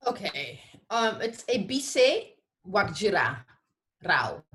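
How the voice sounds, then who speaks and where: A woman's voice: medium pitch, very animated, slow, fairly bright tone. A woman from Ethiopia speaks as a podcast guest in a mid-range voice. Her talk is casual and self-deprecating, with hesitant phrasing that often starts over. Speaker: podcast guest, literary editor and prize judge. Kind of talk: casual interview chat.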